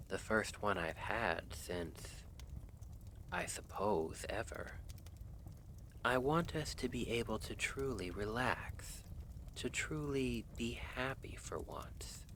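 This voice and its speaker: A man playing a brooding, devoted assassin in an intimate ASMR roleplay, speaking softly and formally, often in a half whisper. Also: lowish voice, expressive, slow, slight background noise, exaggerated voice. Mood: tender, yearning. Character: lovestruck male assassin, brooding, earnest, devoted.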